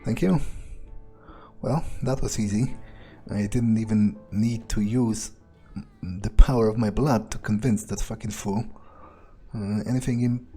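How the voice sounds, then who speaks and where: Game roleplay: man as sardonic, crude vampire musing to himself. Unhurried, soft-edged, clear delivery with hesitations. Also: on the low side, fairly even intonation, faint music behind. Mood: polite. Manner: halting, musing, sardonic, swearing. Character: cynical, crude male vampire talking to himself. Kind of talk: improvised in-character video game playthrough.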